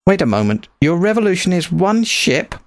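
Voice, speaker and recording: Man acting a courteous, eager, higher-voiced runaway adventurer. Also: sing-song, normal speed, dry recording. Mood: curious, keen. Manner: courteous, earnest, breezy. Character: polite, eager, naive male runaway adventurer.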